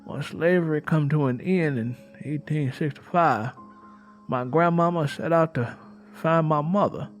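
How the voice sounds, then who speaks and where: A man's voice: on the high side, unhurried, soft background music, lightly acted. Man voicing a folksy, bitter Southern woman. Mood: sorrowful. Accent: American South. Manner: folksy, emphatic storytelling.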